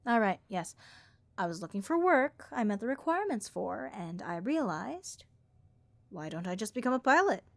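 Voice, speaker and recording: A woman voicing a bored, snarky harpy copilot in a comedic audio roleplay, with a raspy vocal fry and overdramatic, deadpan complaints. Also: mid-range voice, moderate pace, no echo. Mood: grumbling, wry. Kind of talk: comedic audio roleplay monologue addressed to listener.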